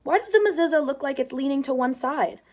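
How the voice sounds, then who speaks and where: A woman voicing an eager, excited little girl as she reads a storybook aloud. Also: high voice, fast talker, pitched above the performer's own voice. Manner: excited, exclaiming.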